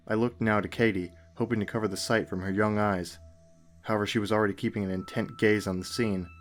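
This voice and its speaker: A man reading a scripted first-person horror story in a measured way, with dramatic pauses. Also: on the low side. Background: music.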